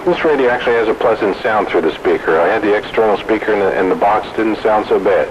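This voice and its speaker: A man's voice: lowish voice, fast talker. A man chatting casually as a hobbyist over CB radio. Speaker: CB radio hobbyist in a group chat. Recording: dull, noisy radio transmission.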